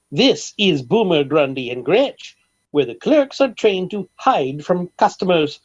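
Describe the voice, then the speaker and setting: A grandiloquent, obsessive rich eccentric in an old-time radio comedy. The voice sits fairly high and swings dramatically through flowery, formal phrases. The recording is dull and dated. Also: male voice, darkish tone. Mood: grandly condescending. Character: pompous eccentric millionaire, obsessed bird egg collector.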